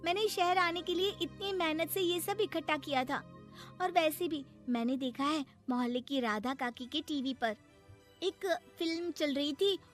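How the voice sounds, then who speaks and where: A woman voicing an excitable, naive village schoolgirl in a cartoon story, with a childlike, eager manner. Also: sits high, measured pace, soft background music. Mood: indignant. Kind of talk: Hindi moral-story cartoon dubbing.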